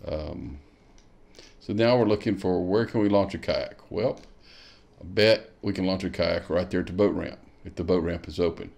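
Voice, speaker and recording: A man's voice: low-pitched, moderate pace, gravelly, darkish tone. A man hosts a kayak fishing show, walking viewers through a map on screen and thinking aloud as he clicks. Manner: thinking aloud, musing with hmms while clicking. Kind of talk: screen-share tutorial walkthrough.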